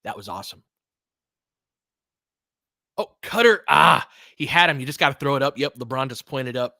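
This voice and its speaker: A man's voice: medium pitch, expressive, normal speed, vocal fry, pushed and bright, no echo, studio quality. A man giving casual, conversational basketball play-by-play and analysis, with short punchy calls and slangy language.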